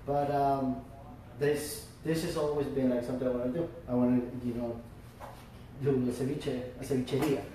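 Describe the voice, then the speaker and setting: Chef explaining a dish in an echoey room. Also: male voice, mid-range voice, flat delivery, normal speed, mellow, slight background noise. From Florida. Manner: explains step by step with many fillers. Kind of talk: cooking demonstration. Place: restaurant. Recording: echoey room, mic far off.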